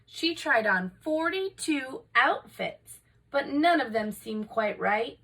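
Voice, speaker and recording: A woman reading a children's picture book aloud in an expressive, dramatic storytelling manner. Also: sits high, slow, slightly rough, far from the mic, low-quality recording. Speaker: storybook reader.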